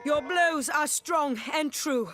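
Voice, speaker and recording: A woman's voice: high-pitched, slow pace, a bit bright. An actress playing a proud, challenging female knight in a fantasy video game, speaking in formal archaic phrases.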